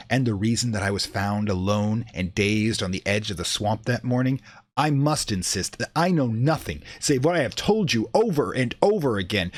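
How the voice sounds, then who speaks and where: A man performs a haunted, defensive man testifying to his interrogators in a radio play. His speech is formal, old-fashioned and emphatic, rising and falling dramatically at a middle pitch. The sound is dry, with no room around it. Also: dry recording, close to an everyday voice. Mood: troubled, insistent. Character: shaken, defensive occultist's friend giving testimony to interrogators.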